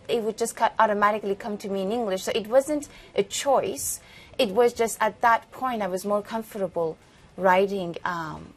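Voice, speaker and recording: Thoughtful woman, Farsi native speaker. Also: medium pitch. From Iran. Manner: thoughtful, measured, hesitant with fillers. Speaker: author, interview guest. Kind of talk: broadcast interview.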